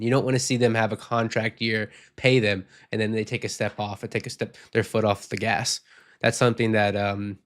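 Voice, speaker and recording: A man's voice: medium pitch, fairly bright tone. A man hosting a casual postgame podcast, reading viewer comments and giving frank opinions, sick and losing his voice. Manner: frank, rambling, reads viewer comments aloud. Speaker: podcast host.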